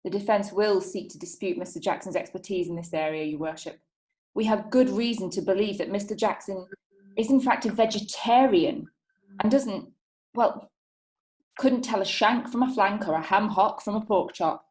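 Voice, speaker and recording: A woman's voice: mid-range voice, normal speed, darkish tone, lightly acted. Woman playing a crafty defence lawyer, echoey room. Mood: deferential, sly. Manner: formal, insinuating. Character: crafty, insinuating female defence lawyer in 1910s court. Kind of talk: theatrical courtroom play acting.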